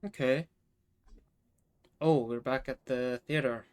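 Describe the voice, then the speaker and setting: A woman's voice: very deep voice, slow, darkish tone, dry recording. A woman gamer giving casual reactions during a story playthrough.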